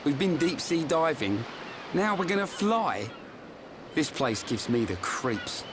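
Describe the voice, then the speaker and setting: A brash, animated young fighter. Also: male voice, a little high, slow, noisy background. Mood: skeptical, uneasy. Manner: blunt, informal, grumbling. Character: brash, skeptical, easily unsettled young fighter. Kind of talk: video game cutscene acting.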